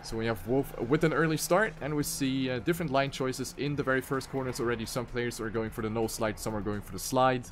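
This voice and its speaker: A man's voice: medium pitch, a little fast, hardly any pauses, slight background noise. A man casting an online racing game tournament live, giving play-by-play that jumps up on crashes and mistakes. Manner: excitable play-by-play, rising sharply on crashes. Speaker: esports caster.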